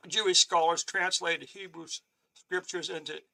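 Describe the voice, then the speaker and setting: A man teaching a live-streamed Bible lesson, talking haltingly with many restarts and clearing his throat, often checking in with "okay?". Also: a little high, steady tone, sharp tone, rough recording. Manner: reads from on-screen notes and explains them.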